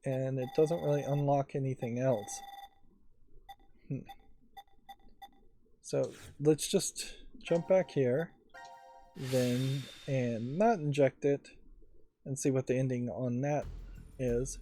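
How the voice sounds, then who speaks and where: A man with a dark, mellow voice at a middle pitch commentates casually on a game. He thinks aloud in an analytical way, pausing as he goes, with faint music behind him. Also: steady tone. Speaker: let's play host.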